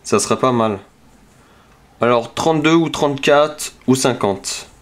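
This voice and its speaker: A man calmly talks through each number as he scratches lottery tickets, casual and methodical. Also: mid-range voice, unhurried. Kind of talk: casual narration while scratching cards. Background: scratching sounds.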